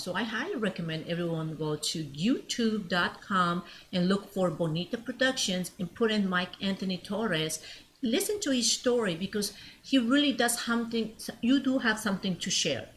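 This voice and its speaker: A woman's voice: medium pitch, a bit bright, slight background noise, rough recording. A middle-aged woman from Mexico who grew up in Alaska, talking in an emphatic, motivational way as a talk-show guest, repeating phrases and asking rhetorical questions. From born in Mexico, grew up in Alaska. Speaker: guest, business owner. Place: at her home, on a podcast set.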